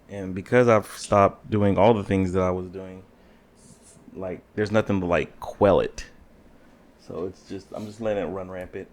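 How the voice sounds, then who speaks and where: A young adult man co-hosting a podcast, talking in a laid-back, rambling way with dry humor. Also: deep voice. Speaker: podcast co-host. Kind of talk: casual chat.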